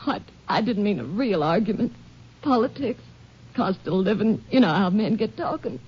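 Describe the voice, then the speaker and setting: An actress playing a fragile, bereaved young rural wife in melodramatic old radio style, breathy, halting and emotional. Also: female voice, mid-range voice, lively intonation. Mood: subdued. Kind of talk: 1940s-50s melodramatic radio acting. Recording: very muffled old radio recording.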